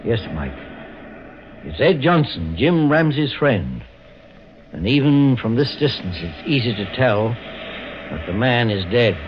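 Courteous radio detective with swooping intonation. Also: male voice, medium pitch, sing-song. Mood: grave. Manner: measured, polite, deliberate. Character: calm, courteous, authoritative male private investigator. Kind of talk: 1940s-50s radio drama acting. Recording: muffled old radio recording with hiss.

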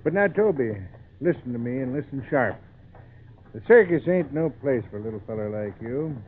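An actor playing an old, plainspoken, protective wagon driver with folksy rustic speech in an old radio drama. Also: male voice, medium pitch, expressive, normal speed, lightly acted. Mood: kindly advising. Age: older. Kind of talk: 1940s radio acting. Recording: noisy, tinny old radio broadcast.

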